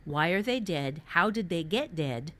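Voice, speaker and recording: A woman's voice: fairly low pitch, lively intonation, a little slow, a bit bright. A woman giving casual, emphatic advice on fiction writing as a podcast host, explaining with playful asides.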